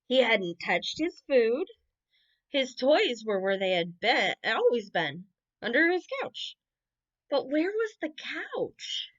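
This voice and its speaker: A woman reading children's bedtime stories aloud in an expressive storytelling manner, with exclamations and asides. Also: on the high side, slow, highs cut off. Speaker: camp unit head reading bedtime stories. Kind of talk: animated picture-book read-aloud for children.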